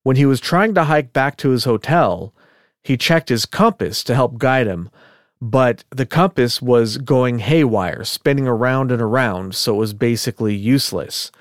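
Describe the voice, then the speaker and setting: A man with a voice pitched right in the middle walks his listener through a story in easy podcast chat. He keeps it loose and conversational, with asides and filler words. The sound is dry and close, with no room echo. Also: normal speed. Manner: chatty, relaxed, thinks aloud with fillers and asides. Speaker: podcast co-host. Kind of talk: casual storytelling of a case.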